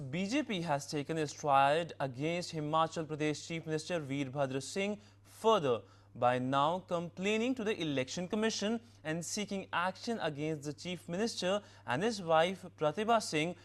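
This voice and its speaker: A man with an Indian accent reading scripted news as an anchor. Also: on the high side. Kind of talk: scripted newsreading.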